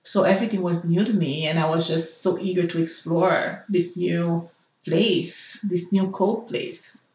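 A woman's voice: fairly low pitch, fairly even intonation, a little slow, a little mellow, roomy sound, rough recording. A young woman from Haiti whose first language is Haitian Creole, speaking as a nurse and interview guest, thoughtful and hesitant, with many fillers. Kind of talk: personal interview.

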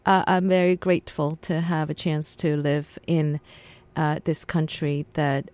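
Woman, low voice, halting, reflective, radio studio guest. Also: flat delivery, a little mellow. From Shanghai, China. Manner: halting, reflective, many pauses and restarts. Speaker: interview guest, translator and interpreter. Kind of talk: casual radio interview.

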